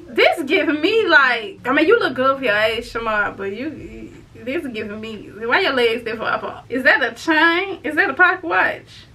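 Bright-voiced teasing young woman vlogger. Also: a little high. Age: 20s. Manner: animated, teasing, talks to phone screen. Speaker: YouTuber. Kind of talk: casual reaction vlog. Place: bedroom, indoors.

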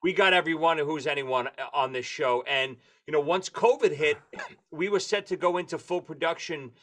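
A man in his forties with a New York accent, a touch higher in pitch than most men. He tells his stories in a lively, animated way over a video call from his home. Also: moderate pace. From Brooklyn, New York. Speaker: guest drummer and author. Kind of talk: casual interview chat. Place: home room with lights and posters.

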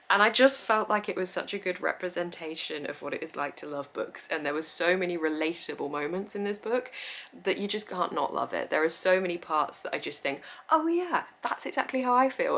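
A young woman vlogging about books she loves, chatty and gushing, with frequent laughs and quick asides, filmed indoors in front of bookshelves. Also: fairly low pitch, a bit bright. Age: young adult. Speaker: book vlogger. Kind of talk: casual chat to camera.

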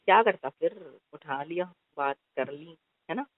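A man's voice: a little high, expressive, measured pace, no room sound. A young man playing a possessive but placating boyfriend in a conversational couple's phone-call drama. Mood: bantering, then soft, concerned. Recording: thin, phone-like call audio.

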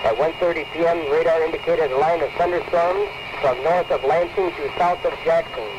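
A man's voice: a little high, a little fast, lo-fi sound, noisy background. An American male weather radio announcer reading a formal scripted warning bulletin on an old 1979 tape.

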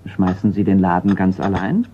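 A man's voice: low-pitched, steady tone, moderate pace, warm tone, slightly rough. An actor playing a polite, frank insurance man in a radio crime drama, courteous and slightly stiff. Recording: slightly muffled radio play recording.

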